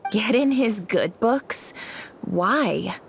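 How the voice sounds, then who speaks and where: A woman's voice: medium pitch, expressive, very slow, vocal fry, a little hiss or hum, exaggerated voice. A woman playing a cheeky, teasing child in a video game, playful and knowing. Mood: curious.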